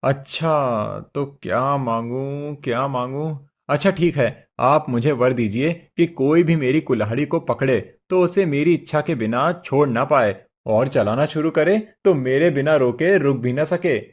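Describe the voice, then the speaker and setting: A man's voice: medium pitch, a little slow, hardly any pauses, lightly acted. A man voicing a poor, simple woodcutter husband in a cartoon story, earnest, meek and fretful. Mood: puzzled, eager. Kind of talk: Hindi cartoon story voice acting.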